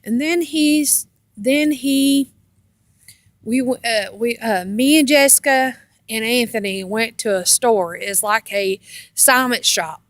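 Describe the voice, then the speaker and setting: A woman casually telling rambling personal stories in a halting, meandering way, often repeating herself. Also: a little high, no echo. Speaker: storyteller on own video channel.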